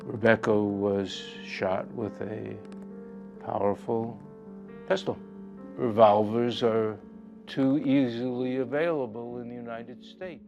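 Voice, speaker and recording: A man's voice: medium pitch, steady tone, takes it slowly, gravelly, a little mellow. A man speaking in a statement from an archive recording. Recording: dull, lo-fi archive audio. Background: faint guitar music.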